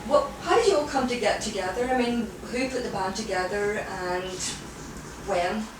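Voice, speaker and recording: Female interviewer, chatty and keen. Distant mic in a heavily reverberant venue with clear background noise. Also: mid-range voice, expressive, normal speed. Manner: enthusiastic, chatty. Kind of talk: casual on-camera band interview. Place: indoors at a music venue after a show. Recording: very echoey noisy venue room, distant mic.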